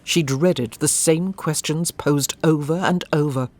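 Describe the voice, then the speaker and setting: A woman's voice: low voice, a little slow, a little mellow. Lady narrator, audio drama, scripted storytelling with music behind.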